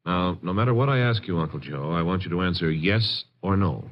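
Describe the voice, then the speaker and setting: Man playing a low-voiced, businesslike polygraph technician. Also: brisk, lightly acted. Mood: methodical. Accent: American. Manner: firm, plain questioning. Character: businesslike police polygraph technician, firm and methodical. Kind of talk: 1950s radio drama acting. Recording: muffled, noisy vintage radio.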